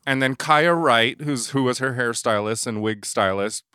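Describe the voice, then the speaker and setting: A man in his forties, a makeup artist, telling stories in a casual interview, animated and laughing often as he acts out dialogue. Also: medium pitch, normal speed, smooth, no room sound, studio quality.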